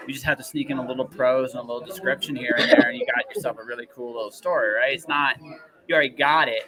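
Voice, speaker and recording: A man's voice: mid-range voice, steady tone, quick pace, crowd noise, low-quality recording. A man hosting a casual, enthusiastic interview, rambling and repeating phrases for emphasis. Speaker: podcast host interviewing a guest.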